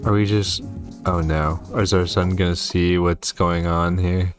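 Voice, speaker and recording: A man's voice: sits low, steady tone, unhurried. A man giving commentary on a horror game, reacting and reading on-screen text aloud. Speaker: gaming video host. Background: game music.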